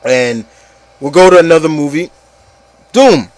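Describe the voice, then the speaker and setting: A man's voice: a little high, unhurried, darkish tone, no room sound. Emphatic man hosting a casual opinion discussion. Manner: emphatic, repeats himself, opinionated. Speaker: host of an online opinion show. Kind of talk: casual group discussion of movies and games.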